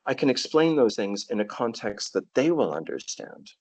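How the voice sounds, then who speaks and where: A man's voice: mid-range voice, big pitch swings, normal speed, a little mellow, dry recording. A middle-aged man with a Canadian accent, telling stories as a guest on a casual podcast and often repeating a word as he starts. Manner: thoughtful, storytelling, repeats words at starts. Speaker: guest, tour guide.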